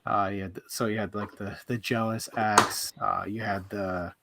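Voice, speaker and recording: A man's voice: fairly low pitch, steady tone, normal speed, gravelly, heavy background noise. A man taking part in a casual livestream book chat, speaking informally and a bit hesitantly as he gives opinions. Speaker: book club panelist.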